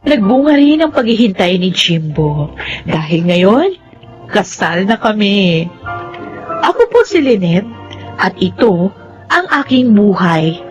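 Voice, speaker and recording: A woman's voice: medium pitch, big pitch swings, slow pace, pauses often, music in the background. An actress playing a sincere, cautious young woman in a radio drama. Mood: warm narrating. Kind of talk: Filipino radio drama acting and narration. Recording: slightly muffled, faintly noisy radio recording.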